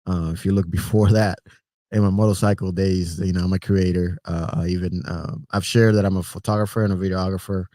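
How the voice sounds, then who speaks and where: A middle-aged man with a Puerto Rican accent, hosting a relaxed, rambling livestream chat and greeting viewers by name. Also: sits low, a little fast, no echo. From Puerto Rico. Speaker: trucker livestream host.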